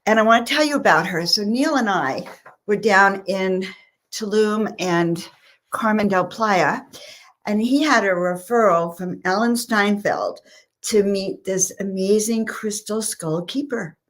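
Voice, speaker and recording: A woman's voice: mid-range voice, a little slow, fairly bright tone. Enthusiastic woman co-hosting on a video call. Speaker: conference co-host. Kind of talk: casual online chat.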